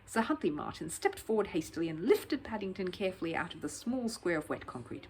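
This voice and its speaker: A woman reading a children's story as an expressive, dramatic narrator. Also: on the low side, a little fast. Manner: animated, dramatic.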